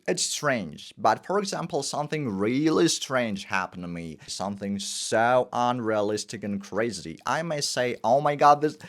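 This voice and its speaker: Energetic man. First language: Russian. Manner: energetic, with sudden emphatic pitch jumps. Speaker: English teacher. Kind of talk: teaching monologue.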